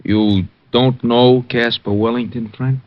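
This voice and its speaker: A man's voice: medium pitch, fairly even intonation, slow pace, no echo, natural voice. An actor playing a cold, threatening gangster who talks in tough-guy slang. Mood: menacing. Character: menacing male gangster with a gun. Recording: dull old radio recording.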